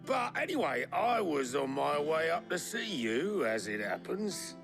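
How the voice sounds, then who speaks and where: An actor playing a chatty, cheeky boatman with a gravelly voice and a working-class English accent, droll and colloquial in a video game. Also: on the high side, big pitch swings, unhurried, fairly bright tone, faint music behind, exaggerated voice. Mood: amused, easygoing. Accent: British, working-class English. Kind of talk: character voice acting in a video game.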